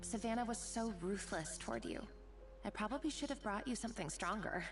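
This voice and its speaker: A woman playing a kind, modest young kitchen worker in a video game, gentle and apologetic. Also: mid-range voice, lively intonation, brisk, natural voice. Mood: sympathetic, modest. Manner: gentle, self-effacing. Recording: music under the voice.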